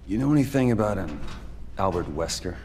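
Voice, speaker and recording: A man's voice: low voice, animated, a little fast, darkish tone, a little hiss or hum. An actor playing a cynical, bitter young American man in a video game cutscene, with a curt, sardonic manner. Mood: sardonic, guarded. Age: young adult. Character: cynical, bitter young male mercenary with troubled past.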